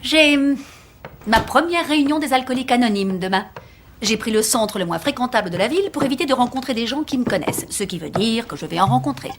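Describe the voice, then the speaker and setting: A woman playing a stern, controlled mother worn down by her rebellious son in a dubbed TV drama, with measured, formal, biting delivery that often turns hushed. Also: fairly high pitch, animated, noisy background. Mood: defiant, composed. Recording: music under the voice. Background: music.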